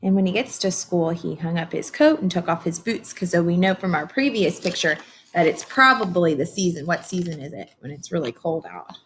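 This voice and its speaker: A woman reading a picture book aloud to young children as a teacher does, pausing to explain and ask simple, encouraging questions. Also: lowish voice, lively intonation, fairly bright tone, slight background noise.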